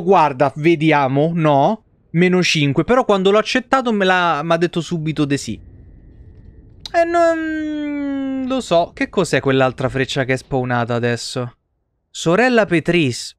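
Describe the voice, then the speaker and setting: High-voiced young man streaming, dry close sound. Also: clear, resonant tone, no echo. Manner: animated, slangy, swears freely. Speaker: game streamer. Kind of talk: casual live game commentary.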